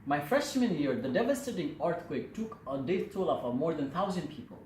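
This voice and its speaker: A man's voice: on the high side, sing-song, brisk, a little mellow. A man in his twenties from Nepal with a Nepali accent, giving a prepared personal presentation as a student in an earnest, formal way. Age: 20s. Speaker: student speaker. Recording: echoey room with faint noise.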